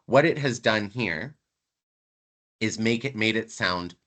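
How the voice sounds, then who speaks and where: Casting note: male lecturer raised in Canada, low pitch, bright edge, chatty and emphatic on a video call. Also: lively intonation, takes it slowly. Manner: conversational, emphatic, repeats words for stress. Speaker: university lecturer. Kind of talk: online lecture to students.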